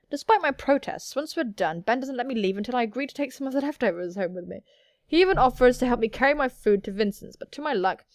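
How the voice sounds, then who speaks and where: A woman's voice: on the high side, no echo, clean studio sound. A cheeky, theatrical woman hosting a gaming video, reading a story aloud with irreverent joking asides. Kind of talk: reading a visual novel aloud with playful joking commentary.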